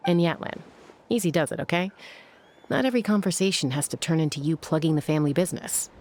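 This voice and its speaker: An actress playing a calm young female warrior and peacemaker who talks in an easygoing, measured way, in a game scene. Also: deep voice, a little hiss or hum. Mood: scolding. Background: game music.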